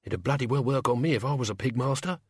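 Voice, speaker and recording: A man's voice: mid-range voice, fast talker, breathy edge, no room sound, studio quality, natural voice. A man voicing a cheeky, chatty male servant who talks with his mouth full.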